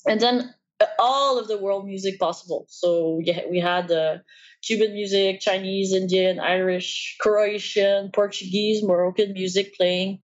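A woman's voice: medium pitch, fairly even intonation, unhurried, lo-fi sound. Young woman with a Quebec French accent. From Quebec, Canada. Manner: thoughtful, hesitant with frequent fillers. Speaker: podcast guest, PhD student. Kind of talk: casual interview talk.

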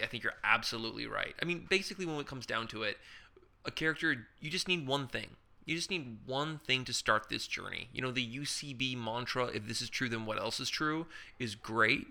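A man with a very bright, crisp and smooth voice co-hosts a casual improv podcast. He walks through character-building ideas, tossing off quick hypothetical examples and rhetorical questions in an easy chatty flow. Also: medium pitch. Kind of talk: casual instructional chat.

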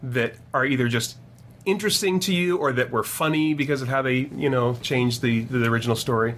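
A man's voice: medium pitch, a little fast, fairly bright tone, a little hiss or hum. A man hosting a podcast, interviewing casually with informal, rambling phrasing.

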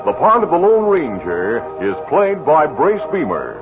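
A man announcing and narrating a 1950s radio western, booming and emphatic in scripted delivery, on a dull old broadcast recording. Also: wildly swooping pitch, unhurried, over music.

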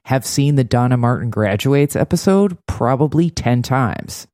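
A woman's voice: rumbling low, expressive, unhurried, dark tone, dry recording. A woman co-hosting a podcast in a casual TV recap chat, speaking in a dry, sardonic, blunt way. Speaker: podcast co-host.

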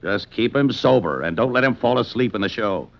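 An actor playing a gruff, sarcastic, domineering magazine boss in a blustering 1950s radio drama style. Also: male voice, fairly low pitch, expressive, a little fast. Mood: stern. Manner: blustering, sardonic. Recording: muffled 1950s radio broadcast.

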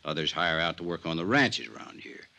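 An actor playing a folksy, wary older small-town sheriff who drawls deliberately in a 1950s radio drama. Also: male voice, low voice, gravelly, bright, forceful tone, exaggerated voice. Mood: wary, warning.

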